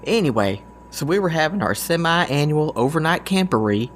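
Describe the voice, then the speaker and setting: An actor with a Southern US accent playing a goofy, good-natured country man in an audio drama, talking in a folksy, drawn-out way. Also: fairly high pitch, slight background noise. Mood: animated storytelling. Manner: folksy, drawn-out, excitable storytelling. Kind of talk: scripted audio drama dialogue.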